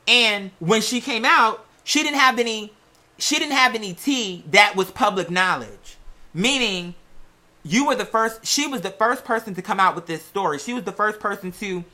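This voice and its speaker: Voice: mid-range voice, expressive, moderate pace, pushed and bright. A sassy, blunt commentator chatting casually in slangy speech and giving opinionated takes on gossip.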